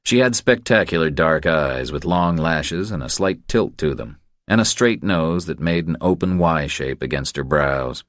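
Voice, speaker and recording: Very deep-voiced male audiobook narrator. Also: expressive, unhurried, few pauses, dry recording, studio quality.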